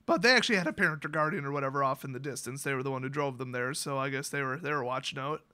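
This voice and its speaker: A man's voice: mid-range voice, very fast, bright, forceful tone. An American man telling stories in a casual, ranting style with a sarcastic, exasperated manner. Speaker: YouTube storyteller and gamer.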